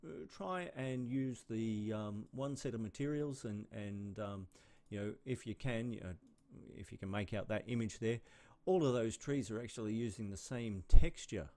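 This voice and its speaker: A man walking through new features of his software in a screen recording, relaxed and hesitant, with many fillers and restarts. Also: fairly low pitch, normal speed. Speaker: software developer.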